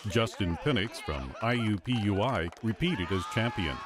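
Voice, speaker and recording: A man's voice: fairly low pitch, expressive, normal speed. A man with an American accent reading scripted narration for a news review. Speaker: newsreel narrator. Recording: voice-over with loud music bed. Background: loud music.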